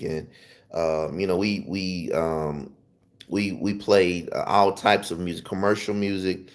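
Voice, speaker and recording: A man with a Southern US accent giving an informal music lesson, emphatically repeating and rephrasing his points. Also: low-pitched. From Memphis, Tennessee, southern US. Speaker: music teacher. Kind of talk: informal instructional talk to viewers.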